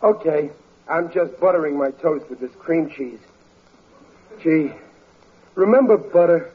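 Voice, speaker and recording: An actor playing a grumbling, good-hearted working-class husband in a 1940s radio sitcom, with folksy, ungrammatical speech and a blustering manner. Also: male voice, on the high side, animated, a little slow, slight background noise. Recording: tinny, narrow old radio recording.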